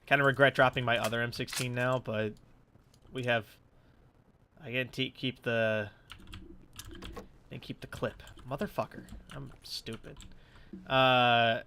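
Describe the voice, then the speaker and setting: Bright-voiced man rambling through game commentary. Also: mid-range voice, fairly even intonation, measured pace, pauses often, slight background noise. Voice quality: slightly stuffed-up nose. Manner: rambling, thinking aloud. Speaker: gaming YouTuber. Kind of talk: casual let's play commentary, swearing. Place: his room at home.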